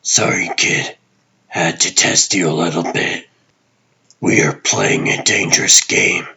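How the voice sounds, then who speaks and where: A cool, unhinged comic-book vigilante, played by a man, speaking low and husky in clipped deadpan. He sounds terse and gruff, taking his time over short lines. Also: slow, heavily acted. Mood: low, apologetic.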